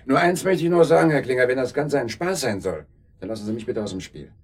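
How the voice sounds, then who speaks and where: An actor playing a practical, sternly polite holiday-house landlord in a naturalistic radio drama, brisk and businesslike. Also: male voice, medium pitch, lively intonation, recorded from a distance.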